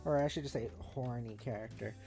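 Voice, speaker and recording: A woman streamer chatting casually with viewers, giggly and halting as she thinks aloud and reads out messages. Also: rumbling low, vocal fry, dark tone. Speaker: streamer, host. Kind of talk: casual livestream chat, reading out viewer messages. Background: music.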